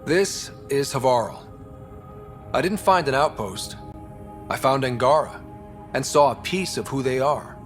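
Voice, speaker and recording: An actor playing a young, principled and wary space explorer who leads a team in a video game, speaking plainly but with resolve. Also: male voice, medium pitch, a little slow, pauses often, faint music behind, natural voice. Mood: solemn, hopeful. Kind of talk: video game character acting.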